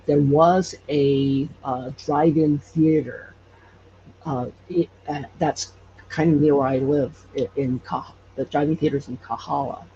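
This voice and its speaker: A man with a creaky, somewhat bright voice relates stories in a casual interview. He speaks haltingly and often restarts his sentences. The audio is dull and noisy. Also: on the high side. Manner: halting, hesitant with repeated false starts. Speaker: podcast creator and guest.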